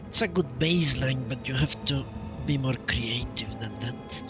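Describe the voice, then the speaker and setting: A man giving casual live commentary as he plays a game, musing aloud in an unhurried way. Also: mid-range voice. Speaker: game streamer. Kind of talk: casual live playthrough commentary, reads dialogue choices. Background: game music.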